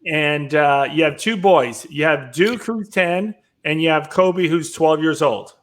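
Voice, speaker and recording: High-pitched upbeat male host. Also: a little slow, a bit bright. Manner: upbeat, chatty, filler-heavy. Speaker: host, real estate business owner. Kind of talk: interview hosting.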